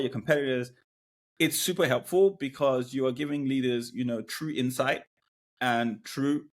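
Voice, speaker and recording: A man speaking as a podcast guest about sales leadership, blunt and opinionated, often laughing between points. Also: mid-range voice. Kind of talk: casual business podcast chat.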